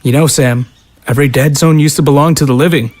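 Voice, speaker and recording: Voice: mid-range voice, steady tone, fast talker, darkish tone, close to an everyday voice. An actor voicing a hardened, blunt recruiter for a survivor militia, matter-of-fact and persuasive. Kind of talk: amateur audio drama acting.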